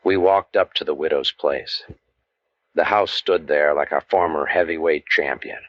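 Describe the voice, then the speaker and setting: Very deep, deadpan hardboiled private eye, played by a man. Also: normal speed, dry recording, lo-fi sound, faint music behind. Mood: wry, brooding. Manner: deadpan, world-weary, sardonic. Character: cynical, wisecracking hardboiled waterfront private eye Pat Novak. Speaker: narrator and lead detective. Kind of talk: old-time radio noir narration.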